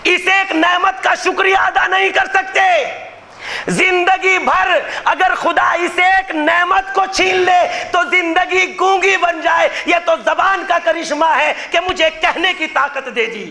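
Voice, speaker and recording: A man preaching a majlis, his voice very high and strikingly bright. He declaims slowly and forcefully, shouting many of his phrases in impassioned oratory. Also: fairly even intonation. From Gujarat, India. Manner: impassioned, declaiming, often shouting rhetorical lines. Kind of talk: Muharram majlis sermon oratory. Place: religious gathering hall.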